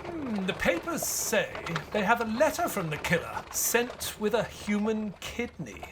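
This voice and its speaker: An expressive, higher-voiced Victorian doctor. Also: male voice, background noise. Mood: curious, puzzled. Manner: formal, measured storytelling. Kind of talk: audio drama acting and scripted narration.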